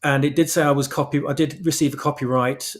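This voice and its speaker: A man with a medium-pitched voice talks as a guest in an informal conversation. His explanations wander, hesitating and restarting as he goes. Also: fairly even intonation, fast talker. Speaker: guest, fan fiction author. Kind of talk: casual interview chat.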